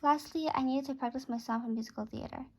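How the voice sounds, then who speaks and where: A woman's voice: high-pitched, fairly even intonation, fast talker, a little mellow. A woman reading a first-person story aloud, now and then stumbling and repeating a phrase.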